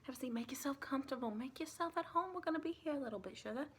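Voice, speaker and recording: A woman playing a sweet, chatty vampire lady with a Southern US accent, soft-spoken and partly whispering in a rambling, reassuring ASMR roleplay. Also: high voice, very fast, slight background noise, close to an everyday voice. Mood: coaxing, hospitable. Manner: folksy, rambling, reassuring, doting.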